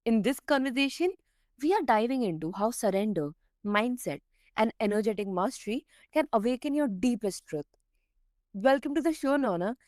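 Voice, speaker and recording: A woman's voice: on the high side, animated, moderate pace, darkish tone, no echo, studio quality. A woman hosting a podcast, reading a polished introduction and asking interview questions in an upbeat way. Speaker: podcast host.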